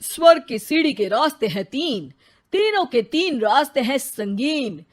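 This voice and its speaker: A woman acts out a self-important newsman from a magic television in a storybook tale. The voice is fairly high, slow and theatrical, full of cheeky chat. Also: sing-song, exaggerated voice. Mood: pompous. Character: cheeky talking male newsreader inside a magic television.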